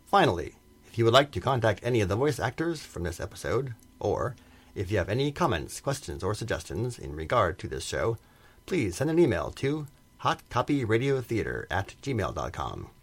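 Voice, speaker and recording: A man announces for a radio drama in a formal, theatrical style. His voice is on the low side and husky. His intonation swings very widely as he reads his script. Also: very animated, moderate pace.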